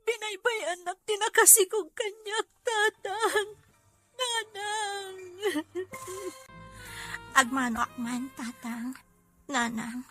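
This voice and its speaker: A woman's voice: very high-pitched, takes it slowly, long pauses, pushed and bright, faint music behind, heavily acted. A woman with an Ilocano Filipino accent playing an emotional, earnest young woman in a melodrama. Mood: tearful pleading. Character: emotional young woman, daughter and loyal friend.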